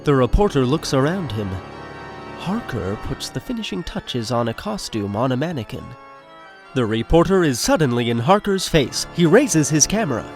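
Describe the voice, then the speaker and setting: A male narrator reads stage directions for an audio drama in a mid-pitched voice with a creaky edge. Music plays softly behind his scripted narration. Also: very animated, moderate pace.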